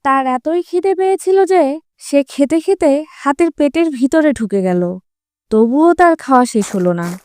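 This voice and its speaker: A woman's voice: sits high, animated, unhurried, darkish tone. A woman narrating a scripted children's fable as a storyteller.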